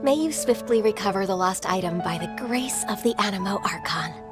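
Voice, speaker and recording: A woman playing a gentle, devout townswoman in a fantasy video game, calm and courteous. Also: medium pitch, normal speed, music in the background, natural voice. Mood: gentle, helpful. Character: gentle, devout young townswoman offering blessings.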